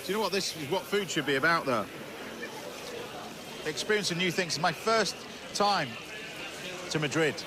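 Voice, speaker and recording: A man's voice: on the high side, normal speed, long pauses. A man, a chef and TV host, talking casually and enthusiastically to camera about food while out on a city street. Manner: chatty, enthusiastic, food-focused asides. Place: busy city street outdoors. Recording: outdoor location sound with street noise.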